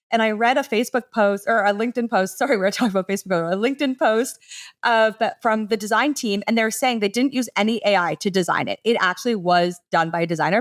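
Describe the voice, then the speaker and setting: Enthusiastic woman presenting conversationally. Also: mid-range voice. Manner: enthusiastic, explanatory, casual phrasing with "like" and "super". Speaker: podcast panelist presenting a case study.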